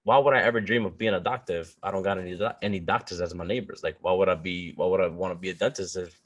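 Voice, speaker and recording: A man's voice: low voice, fairly even intonation, fast talker. A man in his twenties from the Dominican Republic with a Bronx accent, talking as a guest in a casual podcast interview with lots of slang and filler phrases. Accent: New York, Bronx. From Dominican Republic, raised in the Bronx. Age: 20s. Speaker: guest, coffee entrepreneur.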